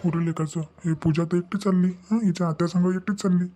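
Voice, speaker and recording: Fast-talking, fretful village father acted by a man. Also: high-pitched, steady tone, warm tone, faint music behind. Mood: worried, hushed. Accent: rural Maharashtrian. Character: worried village father covering up a scandal. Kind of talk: Marathi comedy cartoon dialogue.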